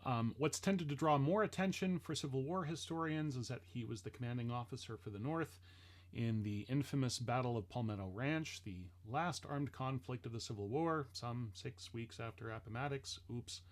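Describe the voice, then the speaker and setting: A man talking casually and reflectively in a halting, explanatory way, as someone introducing a family history. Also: mid-range voice. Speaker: speaker introducing an ancestor's speech. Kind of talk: casual explanatory talk to listeners.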